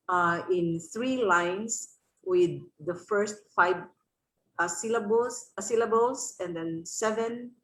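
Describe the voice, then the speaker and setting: Woman, Filipino accent, teacher explaining, slow delivery, halting phrasing, roomy echo. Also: medium pitch, fairly bright tone. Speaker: resource speaker, teacher. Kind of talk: explaining in an online class. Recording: roomy, echoing room sound.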